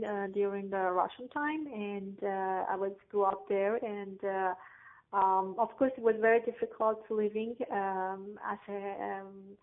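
A woman from Afghanistan, not an English speaker, answering interview questions haltingly with many pauses and fillers. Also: medium pitch. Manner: halting, searching for words, frequent fillers. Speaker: guest. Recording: tinny phone-like line.

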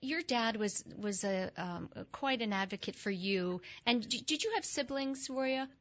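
A woman's voice: fairly high pitch, expressive, unhurried. Woman, interviewer, hesitant, lots of fillers, slightly muffled sound. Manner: warm, hesitant questioning with many fillers. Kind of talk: podcast interview. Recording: slightly dull lo-fi audio.

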